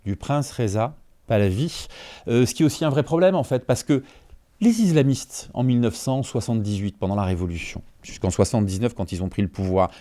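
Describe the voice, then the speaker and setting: A journalist in his fifties answers in an interview with a warm, mellow voice. He argues emphatically and often pauses to ask whether the listener is following. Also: medium pitch, animated. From Franco-Iranian. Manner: emphatic, peppered with "en fait" and rhetorical checks. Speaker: journalist guest.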